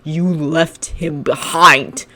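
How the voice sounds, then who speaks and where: A narrator voicing a cold, resentful teenage boy who speaks low and seething and then shouts accusations. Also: moderate pace, lightly acted.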